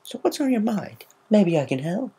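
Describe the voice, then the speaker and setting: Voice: mid-range voice, sing-song, normal speed, warm tone, slightly rough, voice pushed up, exaggerated voice. A reader voicing a teasing, sardonic female griffin who is secretly fond and caring, in a dramatic fan fiction reading. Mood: defensive, sad.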